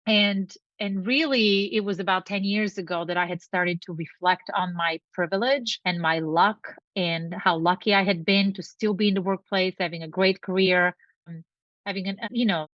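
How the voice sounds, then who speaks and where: A middle-aged woman from Bulgaria, a company founder, talks with earnest, thoughtful care as a podcast guest. Her voice reaches us over a video call with a dull, narrow sound and no room echo. It sits at an ordinary pitch for a woman. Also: steady tone, normal speed. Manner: earnest, reflective, speaks in long lists. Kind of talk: interview conversation.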